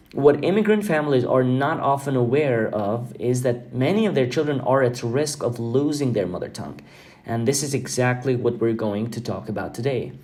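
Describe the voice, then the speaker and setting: A man whose first language is Albanian, hosting a podcast in an earnest, reflective monologue, often pausing with fillers and repeating words. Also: mid-range voice, darkish tone. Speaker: podcast host.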